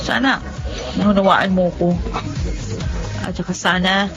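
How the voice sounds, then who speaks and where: An actress playing a weary, earnest young eldest daughter in an emotive Filipino radio drama. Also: female voice, on the low side, fairly even intonation, slow. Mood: gently firm. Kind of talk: Filipino radio drama acting and first-person narration. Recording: dull lo-fi audio with music behind.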